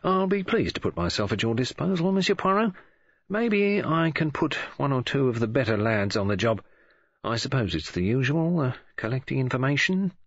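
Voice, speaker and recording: A man plays a gruff older investigator who grumbles and rambles along, pausing to hesitate. His voice is husky. The recording has a dull, muffled quality. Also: medium pitch, very animated, no echo, pitched above the performer's own voice. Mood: grumbling.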